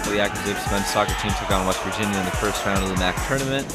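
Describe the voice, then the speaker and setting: A young man doing casual, lively voice-over commentary on soccer highlights for a student sports show. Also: fast, a loud crowd. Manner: lively, casual, laughs at his own slips. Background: music.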